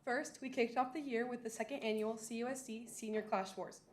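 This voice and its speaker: A teenage girl reads her student council report with rehearsed enthusiasm. Her voice sits a little high, and her delivery is steady and well prepared. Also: a little fast. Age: teens. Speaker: student body president. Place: school district boardroom.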